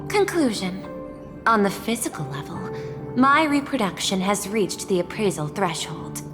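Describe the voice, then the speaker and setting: A woman playing a precise, analytical appraiser in a video game, speaking in a clinical, formal way. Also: mid-range voice. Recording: long hall-like reverb under music.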